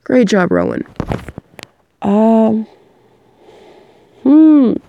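A woman's voice: on the high side, wildly swooping pitch, very slow, highs cut off, a little hiss or hum. A young woman who is sick and coughing, rambling casually about her work as an audio performer and often losing her train of thought.

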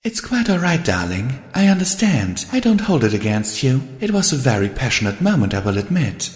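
A man's voice: mid-range voice, very animated, moderate pace, pitched above the performer's own voice, natural voice. A man voicing an elegant, tender unicorn mare with a refined, soothing manner, in an audio storybook. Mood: soft, forgiving. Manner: genteel, soothing, affectionate, calls listener dear and darling. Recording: long hall-like reverb.

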